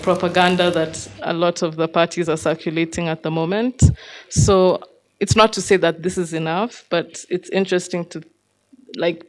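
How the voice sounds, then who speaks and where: Even-toned woman thinking aloud. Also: lowish voice. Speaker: writer, former teacher. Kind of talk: casual reflection in a group discussion.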